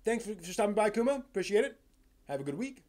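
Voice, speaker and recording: A man's voice: high voice, big pitch swings, darkish tone. A man hosting a live stream, talking casually off the cuff to viewers, often rephrasing as he thinks aloud. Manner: halting, thinking aloud, rephrasing mid-sentence.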